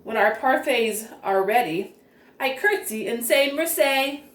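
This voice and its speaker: A woman reads a children's story in an expressive, careful way, her voice on the high side and very unhurried. The recording is echoey, as if the microphone sits well back in the room. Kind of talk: expressive storybook read-aloud. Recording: roomy echo, distant microphone.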